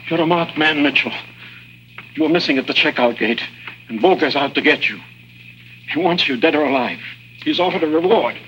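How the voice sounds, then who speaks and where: An actor playing an earnest, self-sacrificing prisoner and secret informant in a 1950s adventure drama, grave and formal. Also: male voice, on the high side, fairly quick, pauses often, sharp tone, lo-fi sound, slight background noise. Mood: anxious warning. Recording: vintage 1950s TV soundtrack, music behind.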